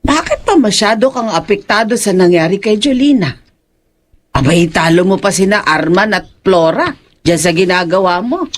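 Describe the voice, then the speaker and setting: Audio drama role for a woman: concerned, reproachful aunt, lively intonation, insistent questioning. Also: mid-range voice, moderate pace, close to an everyday voice. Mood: scolding. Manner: nagging, probing, expressive. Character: concerned, scolding aunt questioning her drinking nephew. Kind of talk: horror audio drama acting.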